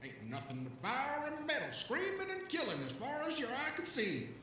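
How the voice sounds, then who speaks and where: High-pitched, wildly swooping old vagrant. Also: male voice, wildly swooping pitch, normal speed, sharp tone, heavy reverb, recorded from a distance, a little hiss or hum, full character voice. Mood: animated storytelling. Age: older. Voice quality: rough, coarse voice. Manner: folksy, rambling, stammering, talks of himself in third person. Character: shabby, rambling, excitable centuries-old alien vagrant. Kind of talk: audio drama character acting.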